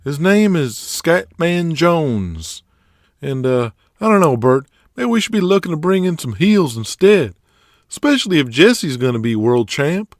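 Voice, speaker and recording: A man's voice: on the high side, very animated, a little slow, no echo, studio quality, pitched above the performer's own voice. A man voicing a timid, eager male assistant at a wrestling promotion, speaking hesitantly with stammers. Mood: nervous, hesitant.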